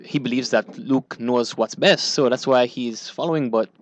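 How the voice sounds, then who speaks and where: A man's voice: mid-range voice, normal speed. A man giving his opinions as a guest in a radio studio discussion.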